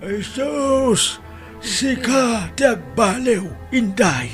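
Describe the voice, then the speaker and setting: A man's voice: very high voice, lively intonation, drawn-out words, fairly bright tone, soft background music, full character voice. A man playing an old father in a radio drama, earnestly exhorting. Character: old father urging a wronged woman to fight back.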